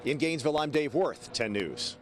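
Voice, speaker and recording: A man's voice: fairly high pitch, expressive, brisk. A man delivering a scripted sports report as a television reporter.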